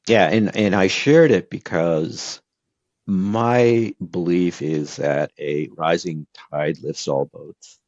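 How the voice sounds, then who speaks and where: Very deep-voiced man from Brazil. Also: takes it slowly, dry recording, lo-fi sound. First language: Portuguese. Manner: earnest, animated advice-giving with frequent fillers and restarts. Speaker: guest. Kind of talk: casual interview talk.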